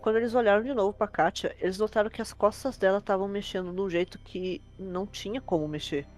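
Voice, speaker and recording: A woman's voice: medium pitch, steady tone, quick pace, a little mellow. A woman with a Brazilian accent narrating a horror story in an informal storytelling style.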